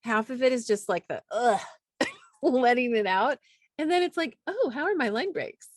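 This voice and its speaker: A woman with a slightly high voice talks casually as a poet and teacher on a panel. She tells stories informally, slipping into quoted dialogue, and the sound is close and very dry. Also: expressive, normal speed, dry recording. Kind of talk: casual anecdotal panel talk.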